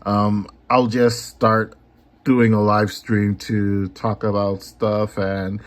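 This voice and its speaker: A man talking casually in a vlog about his comics and live streams, unhurried, with many ums. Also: on the low side, little pitch movement. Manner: unhurried, lots of ums and filler. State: a little hoarse. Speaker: comic artist and YouTuber.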